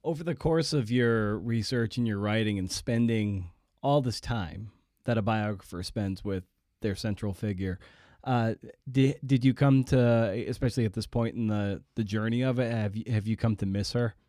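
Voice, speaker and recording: A man's voice: fairly low pitch, moderate pace, dark tone, no room sound, clean studio sound. A man hosting a podcast interview, chatting casually and feeling his way through sentences with searching, self-interrupting phrasing.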